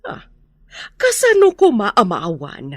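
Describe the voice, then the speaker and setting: Haughty señora, wildly swooping pitch. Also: female voice, wildly swooping pitch, slow, a little mellow, no room sound, clean studio sound, exaggerated voice. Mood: scornful, threatening. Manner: imperious, scornful. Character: haughty menacing rich señora, scornful and threatening. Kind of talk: Filipino radio drama acting.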